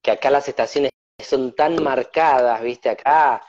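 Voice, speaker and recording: A man hosting a live video-call interview, talking in a relaxed, reflective, rambling way. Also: mid-range voice, a little slow. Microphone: phone on a video call. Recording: dull lo-fi video-call audio.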